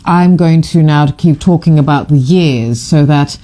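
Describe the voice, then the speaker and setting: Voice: medium pitch, measured pace, warm tone. A middle-aged photographer from Fiji talks casually to camera while presenting a book. The talk rambles and digresses, with many fillers and restarts, and it gushes with enthusiasm. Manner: gushing, enthusiastic. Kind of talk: casual live commentary to camera.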